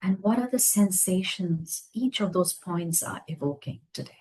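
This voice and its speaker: Female meditation guide, low-pitched and breathy-husky, gentle delivery with spacious pauses. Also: expressive. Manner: gentle, unhurried phrases with long pauses. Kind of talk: guided meditation, slow soothing instruction.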